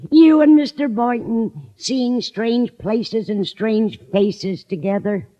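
A woman's voice: a little high, slow, warm tone. An actress playing a kindly, inquisitive older landlady in a 1950s radio sitcom. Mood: puzzled, inquisitive. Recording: muffled old-time radio recording.

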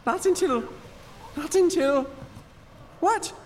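Woman acting a panicky, high-pitched lighthouse keeper. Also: expressive, slow pace, exaggerated voice. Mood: agitated. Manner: stammering, agitated, theatrical. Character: panicky, unravelling male lighthouse keeper, former stage actor. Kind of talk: radio drama table read. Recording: reverberant, noticeable background noise.

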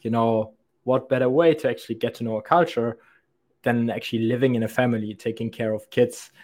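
A man's voice: medium pitch, fairly even intonation, fairly quick. Young man rambling through interview answers. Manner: rambling and thoughtful, frequent restarts and soft laughs. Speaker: podcast guest, sales account executive.

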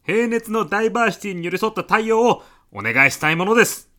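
Forceful male voice, lively intonation, pushy eccentric businessman, matter-of-fact arguing, comedy audio drama. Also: sits high, fast. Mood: argumentative. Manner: insistent, matter-of-fact, argumentative. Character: pushy, quibbling, eccentric businessman visitor with absurd logic. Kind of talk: comedic short radio drama acting.

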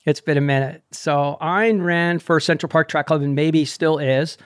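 A man's voice: a little high, fairly even intonation, normal speed, clear, resonant tone, no room sound, studio quality. A man in his sixties hosting a running podcast over a video call, chatty and upbeat. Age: 60s. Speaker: podcast host. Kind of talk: casual interview chat.

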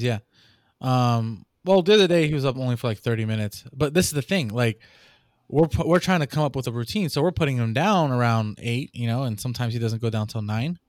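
Young new dad, podcast host, mid-pitched, rambling and animated in his telling, close and dry mic. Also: dry recording. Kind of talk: casual chat.

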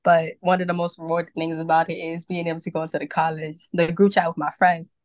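A young woman from Brooklyn talks, upbeat and chatty, her sentences threaded with casual fillers. Her voice sits a little low for a woman. The sound is dry and close, with no room echo. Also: level tone, quick pace, a little mellow. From Brooklyn, New York. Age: young adult. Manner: chatty, upbeat, with many "like" and "you know" fillers. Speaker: podcast guest, model and event host. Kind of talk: casual interview chat.

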